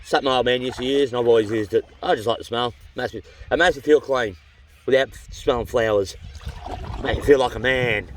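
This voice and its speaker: A tired Australian man in his middle years talks to his camera out in the bush by a river, and he rambles cheerfully from one thought to the next. His voice sits at an ordinary pitch, a little stuffy from a cold, and faint birdsong and outdoor noise sit behind him. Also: fairly quick, rough recording. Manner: chatty and rambling, with frequent restarts and slang. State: worn out, with a runny nose. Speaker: vlogger on a long canoe trip. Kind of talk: rambling casual vlog chat. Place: outdoors by a river among rocky cliffs.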